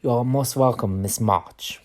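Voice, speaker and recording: An actor playing a courteous, bookish professor in an audio drama, speaking formal, slightly unidiomatic English. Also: male voice, medium pitch, unhurried, close to an everyday voice. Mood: gentle, earnest.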